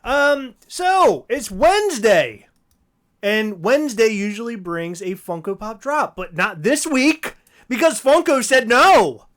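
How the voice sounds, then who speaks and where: A podcast host, slightly hoarse, chatting on a livestream and ranting loosely with plenty of fillers and restarts. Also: medium pitch, very animated, slow pace, fairly bright tone. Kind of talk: casual livestream chat.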